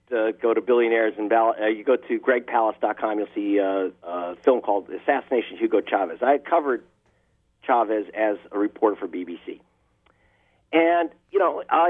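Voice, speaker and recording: A man, a journalist, answering interview questions over the phone with impassioned, emphatic delivery. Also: on the low side. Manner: impassioned, building emphasis on key words. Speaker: investigative journalist guest. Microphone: telephone line. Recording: tinny phone line.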